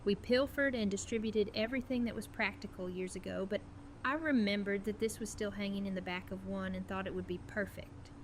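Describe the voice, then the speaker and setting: A woman playing a brisk, caring friend in an audio drama, matter-of-fact in manner. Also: mid-range voice, fairly even intonation, slight background noise, close to an everyday voice, low-quality recording. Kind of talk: fan audio drama acting.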